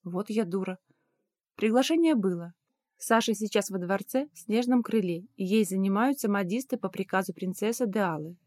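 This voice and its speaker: A woman's voice: medium pitch, normal speed, darkish tone, no room sound, clean studio sound. A woman reading scripted first-person fiction as an audiobook narrator.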